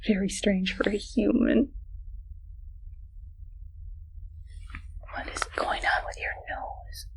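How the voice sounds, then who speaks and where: A woman playing a friendly but evil scientist in an ASMR roleplay, speaking softly in a playful, mock-clinical, unhurried way. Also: medium pitch, fairly even intonation, vocal fry, low-quality recording. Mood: curious, teasing. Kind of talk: whispered ASMR medical roleplay.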